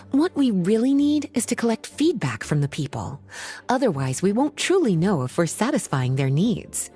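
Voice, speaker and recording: A scholarly witch librarian for a video game. She is coy and playful, speaking in polished, unhurried phrases that swing expressively in pitch. Soft music plays behind her. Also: husky. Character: playful, languid scholarly witch librarian, adult woman, teasing. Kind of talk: video game voice acting, scripted dialogue.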